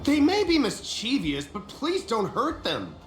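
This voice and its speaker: An actor playing a gentle, helpful local in an anime game, explaining things reassuringly. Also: male voice, very high voice, wildly swooping pitch, unhurried, full character voice. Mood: gentle pleading. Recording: roomy game audio with light music.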